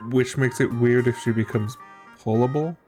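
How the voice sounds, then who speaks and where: A streamer giving casual game playthrough commentary, musing and thinking out loud. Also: medium pitch, fairly even intonation, normal speed, faint music behind.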